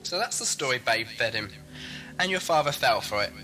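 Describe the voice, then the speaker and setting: A scatterbrained but sharp time-traveller, played by a man, mid-pitched and wry. He sounds breezy and flippant in an audio drama, with music behind him. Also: animated, moderate pace, rough recording. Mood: reflective. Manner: wry, breezy, talking to himself. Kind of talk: fan audio drama acting.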